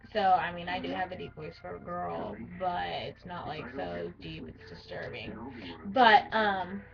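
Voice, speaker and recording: A teenage girl with a deep voice for a girl, talking bluntly in a rambling casual vlog with lots of fillers. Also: slow pace, gravelly, pauses often, far from the mic, muffled recording, a crowd in the background. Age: teens. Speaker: vlogger.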